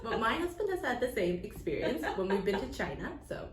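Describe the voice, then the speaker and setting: A woman hosting a casual interview, bubbly and quick to laugh and affirm. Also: mid-range voice, big pitch swings, echoey room, a little hiss or hum. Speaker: podcast host.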